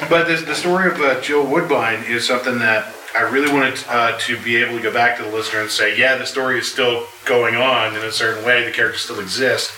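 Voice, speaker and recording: Man, echoey room, clear background noise, wry informal panel talk, series creator. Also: mid-range voice, steady tone, fairly quick, few pauses, pushed and bright, far from the mic. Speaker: audio drama series creator and writer on a panel. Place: live convention panel.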